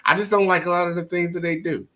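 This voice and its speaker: A man's voice: sits high, fast. A man, hosting a casual podcast debate and laying out his views emphatically. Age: 30s. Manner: animated, emphatic, lecturing. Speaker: podcast host.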